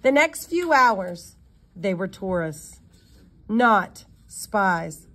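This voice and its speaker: A woman reading a story aloud in an expressive narrating style, with occasional stumbles and self-corrections. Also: medium pitch, drawn-out words. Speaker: audiobook reader.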